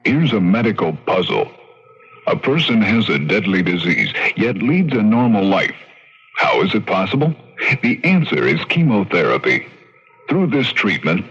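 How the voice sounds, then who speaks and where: A muffled vintage radio recording carries an American man reading a public service message. His husky voice is low, with varied, lively intonation. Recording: muffled old radio broadcast.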